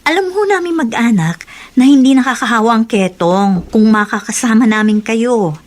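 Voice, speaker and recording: An actress playing a devoted, caring niece in a radio drama, earnest and emotional. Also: female voice, fairly high pitch, moderate pace, natural voice. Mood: earnest reassurance. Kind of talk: Tagalog radio drama acting.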